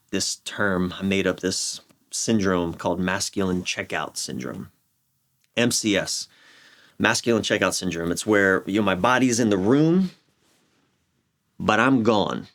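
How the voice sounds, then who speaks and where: A middle-aged American man with a fairly low voice talks as an interview guest. He explains reflectively and candidly, often halting and repeating a phrase for emphasis. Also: fairly bright tone. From United States. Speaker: relationship coach, podcast guest.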